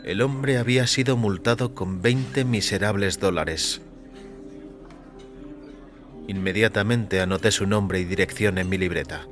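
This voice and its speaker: A man's voice: on the low side, measured pace, long pauses. A man narrating a scripted first-person story for an audio fiction production. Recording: music behind the voice.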